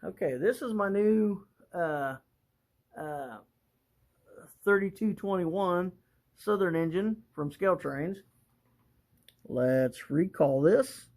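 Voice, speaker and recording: A man casually presenting a hands-on hobby demonstration, talking haltingly and thinking aloud as he works. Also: sits high, animated, creaky, a little mellow.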